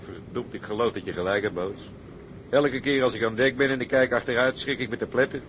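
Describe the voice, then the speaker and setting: A man's voice: medium pitch, a little fast, a bit bright, a little hiss or hum, natural voice. A man playing a homesick, plain-spoken sailor in a seafaring drama. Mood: rueful. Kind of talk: dramatised seafaring story, scripted acting.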